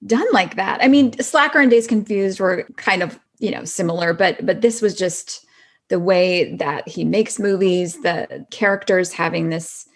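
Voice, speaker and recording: A woman's voice: lowish voice, unhurried. A middle-aged woman, a podcast guest, chatting casually in an informal, agreeable and effusive manner. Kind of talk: casual chat.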